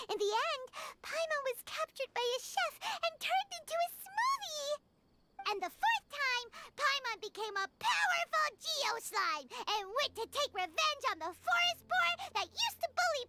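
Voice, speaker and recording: A woman's voice: very high-pitched, very animated, measured pace, very noisy, exaggerated voice. A woman voicing a tiny, chirpy, boastful fairy companion who talks about herself in the third person. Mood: chatty. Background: game music.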